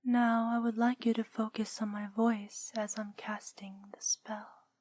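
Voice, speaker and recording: A woman playing a soothing, seductive witch hypnotist in a soft, breathy whisper, in an ASMR roleplay. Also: fairly high pitch, flat delivery. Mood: soothing, coaxing. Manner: slow, soothing, drawn-out delivery.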